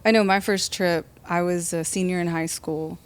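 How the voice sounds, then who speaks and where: A woman's voice: lowish voice, fairly even intonation, normal speed, clear, resonant tone. A woman hosting a church podcast, asking guests questions in a friendly way with lots of "um"s and "mm-hmm"s. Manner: friendly interviewer, many fillers and affirmations. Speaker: podcast host.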